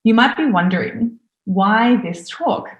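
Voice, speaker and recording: A woman in her thirties, from Australia, giving a recorded conference talk from home in an eager, lively way. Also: fairly high pitch, measured pace, roomy sound, muffled recording. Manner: enthusiastic, lively presenter. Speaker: conference speaker.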